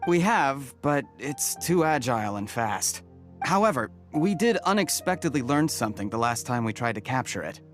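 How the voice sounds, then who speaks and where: A calm forest villager. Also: male voice, mid-range voice, moderate pace. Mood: explaining. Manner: calm, informative. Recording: game audio with background music.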